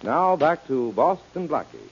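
A man's voice: fairly high pitch, big pitch swings, unhurried, over loud music. A man as the announcer of an old-time radio mystery, giving scripted narration. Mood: measured, dramatic. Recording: dull vintage radio recording. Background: musical instrument playing.

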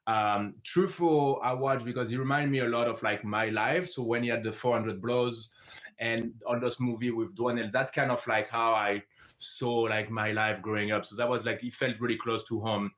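A man's voice: medium pitch, fairly even intonation, moderate pace, a bit bright. A man from the south of France with a French accent, a native French speaker giving casual interview answers as a filmmaker guest.

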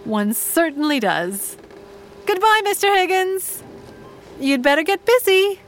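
A woman's voice: extremely high pitch, big pitch swings, unhurried, soft background music, full character voice. An actress playing a shrewd, genteel middle-aged mother in an audio drama, speaking formally and precisely with dry, sceptical wit. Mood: firm, arch. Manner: formal, precise, dryly pointed.

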